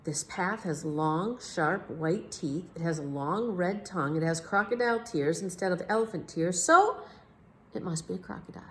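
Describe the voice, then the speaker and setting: A woman voicing a clever, unflappable male detective in a children's storybook, speaking deliberately and emphatically. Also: fairly low pitch, slight background noise, lightly acted. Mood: reasoning.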